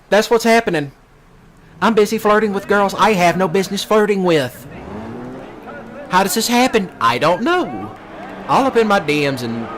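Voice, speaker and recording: A man with a Southern US accent, a streamer rambling casually in a folksy, brash way with rural slang, often repeating himself. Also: high voice. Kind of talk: casual rambling rant over game play. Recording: noisy, with steady vehicle sounds behind.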